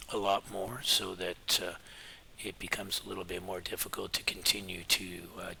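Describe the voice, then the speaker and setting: A middle-aged man with a hoarse, scratchy voice, giving a relaxed, rambling market update full of pauses. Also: low voice. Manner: relaxed and meandering, many filler pauses. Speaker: market forecasting teacher. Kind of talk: casual spoken market update.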